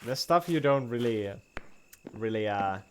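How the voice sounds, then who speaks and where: A man streaming a game live, reacting excitably, laughing and swearing as he plays. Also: mid-range voice, moderate pace, slight background noise.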